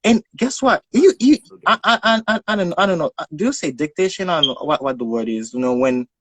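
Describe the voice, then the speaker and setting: A young man with a Haitian accent speaks in a fairly high voice, with very little room sound around it. He tells personal stories in a casual, conversational style. He often stumbles and repeats words while searching for the next phrase. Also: expressive, fast, a bit bright, dry recording. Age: young adult.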